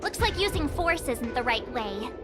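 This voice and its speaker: A woman's voice: high-pitched, very animated, moderate pace. A young woman voicing a bubbly girl who narrates a made-up tale in a game cutscene. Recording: game audio over music.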